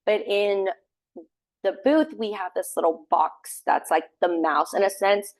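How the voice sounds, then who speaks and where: A young woman with a loud voice, a theater sound tech and assistant stage manager, chatting casually in a podcast interview and filling her talk with "like". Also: medium pitch, unhurried. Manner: chatty, many fillers like "like".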